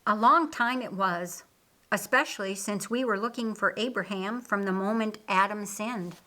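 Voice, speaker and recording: A woman voicing a patient, wise male teacher in a storybook, explaining things in a measured way. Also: mid-range voice, pushed and bright, natural voice. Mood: patient teaching.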